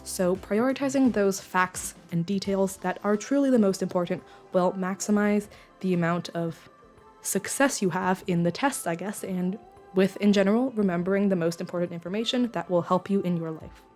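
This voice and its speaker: A young woman narrates her study routine in a relaxed, chatty voice-over that is a little croaky from a cold. She explains at length, with filler words and small restarts. Quiet music plays behind her. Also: medium pitch, moderate pace. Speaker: study vlogger. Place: lives in the San Francisco Bay Area.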